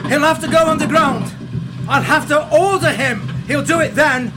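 Firm trench sergeant giving orders an actor doing it, really high voice, radio drama. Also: normal speed, natural voice. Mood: firm, commanding. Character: firm army sergeant in the Great War trenches. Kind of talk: radio play acting.

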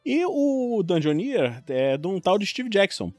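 Guy in his 40s from rio, rio accent, animated storyteller, very up-and-down voice, dry close sound w music under it. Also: high voice, measured pace, no echo. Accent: Rio de Janeiro Brazilian Portuguese. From Rio de Janeiro, Brazil. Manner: animated, informal storytelling with quoted exclamations. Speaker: podcast guest, longtime tabletop RPG player. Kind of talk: casual chat, personal storytelling.

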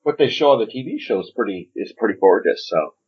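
A man with a slightly low, husky voice co-hosts a casual film podcast. His delivery is animated but easygoing and agreeable. The recording sounds a bit dull. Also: fast. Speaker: podcast co-host.

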